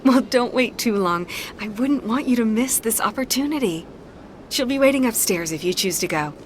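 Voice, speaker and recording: An actress voicing a courteous, welcoming receptionist. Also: female voice, on the high side, expressive, moderate pace, a little hiss or hum, lightly acted. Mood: polite. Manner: polite, welcoming. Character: polite female receptionist, courteous hostess. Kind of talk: video game voice acting. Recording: game audio over music.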